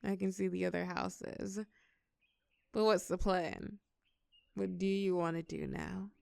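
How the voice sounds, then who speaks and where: A woman as shy college girl, young, creaky voice, tender and hesitant, intimate audio roleplay, close dry sound, music underneath. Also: lowish voice, fairly even intonation, measured pace, a little mellow, no room sound. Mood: teasing, fond. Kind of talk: intimate scripted audio roleplay, one side of a conversation.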